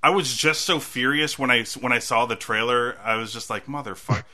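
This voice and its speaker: A man's voice: medium pitch, brisk, bright, forceful tone. A man hosting a casual chat about movies, laughing and restarting his sentences.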